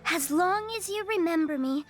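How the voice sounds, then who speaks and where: A woman's voice: very high voice, lively intonation, normal speed. A woman playing a frail, timid little girl in a fantasy game, hesitant and pleading. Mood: pleading, urgent.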